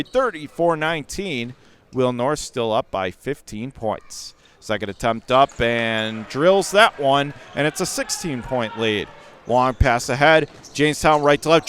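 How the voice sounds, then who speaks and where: A man with a fairly high voice calls a basketball game in radio play-by-play style. He announces in short, clipped phrases and is quick to get excited when the action heats up. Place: high school gym during a game.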